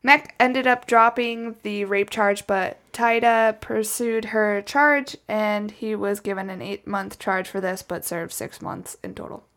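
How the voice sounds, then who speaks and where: A woman's voice: mid-range voice, measured pace, hardly any pauses, a bit bright. A woman telling a true crime story casually from her notes, as a podcast host, with chatty, wry asides.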